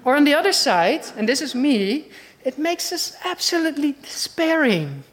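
A woman's voice: sits high, very animated, moderate pace. Dutch-accented woman preacher, earnest, conversational, full of rhetorical questions. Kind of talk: sermon.

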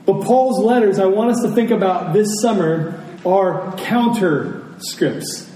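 A man preaching a sermon in a deliberate, emphatic way, with dramatic pauses between phrases. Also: high-pitched, mellow. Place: church service, speaking to congregation. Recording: roomy echo, distant mic, faint noise.

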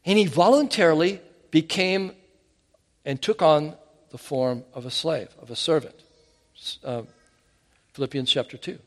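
Animated male preacher in a hall. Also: a little high, measured pace, long pauses. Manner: animated, emphatic, with frequent restarts. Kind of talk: sermon. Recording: hall reverb.

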